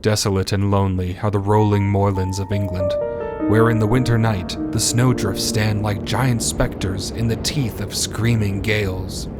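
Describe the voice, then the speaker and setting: A man's voice: lowish voice, steady tone, normal speed. A man announcing and narrating a radio drama in a solemn, theatrical, scripted style. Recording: loud music behind voice. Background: loud music.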